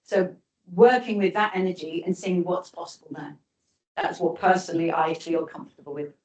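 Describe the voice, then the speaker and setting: A woman's voice: on the low side, steady tone, normal speed, darkish tone, recorded from a distance. Woman lecturing in an echoey hall. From United Kingdom. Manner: thoughtful, restarts often, reframes questions. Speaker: guest lecturer. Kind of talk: lecture answering audience questions.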